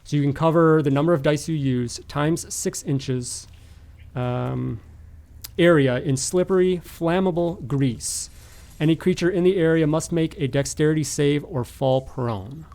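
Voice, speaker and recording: A man narrating as game master of a tabletop game, improvising with halting, hesitant phrasing. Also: a little high, vocal fry, darkish tone.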